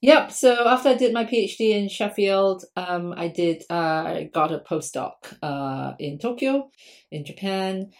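A woman's voice: medium pitch, lively intonation, unhurried. A woman from Singapore, an academic guest on a podcast, answering questions conversationally with frequent ums.

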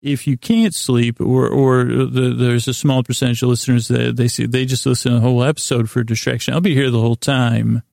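Gravelly man rambling soothingly, very creaky. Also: mid-range voice, level tone, darkish tone, dry recording, clean studio sound. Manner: meandering, halting, stumbling; soothing, lulling, mildly silly. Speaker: podcast host. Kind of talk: rambling bedtime monologue.

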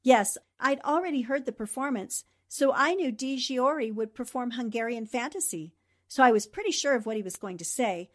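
A woman playing a quick-witted, plucky newspaper reporter in a 1940s radio drama style. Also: a little high, animated. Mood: explaining, amused.

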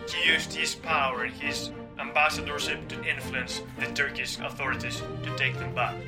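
A man's voice: mid-range voice, fairly even intonation, normal speed, loud music behind the voice. A young man in his twenties from Greece, speaking English with a Greek accent in an earnest, conversational interview. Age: 20s. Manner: earnest, with frequent "you know" fillers.

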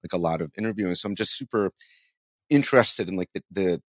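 Low-voiced male podcast host. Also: quick pace, dry recording, studio quality. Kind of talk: casual conversational interviewing.

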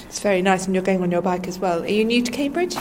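Woman host, mid-pitched, curious street interviewer, handheld recorder outdoors, noticeable background noise. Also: lively intonation, fast. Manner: gentle, curious questioning. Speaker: podcast host interviewing passers-by. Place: outdoors by a river on a cold morning.

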